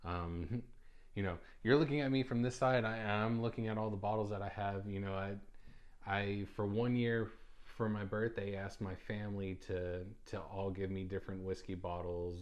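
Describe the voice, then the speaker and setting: A man's voice: fairly low pitch, fairly even intonation, normal speed, dull audio. A young adult man hosting a casual solo podcast, talking loosely with many ums, uhs and restarts. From United States. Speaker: podcast host.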